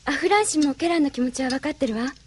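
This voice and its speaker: An actress playing an earnest, gentle, caring young woman of a resistance group in an anime audio drama. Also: sits high, lively intonation, fast talker, slight background noise. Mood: wistful. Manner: soft feminine, pleading speech.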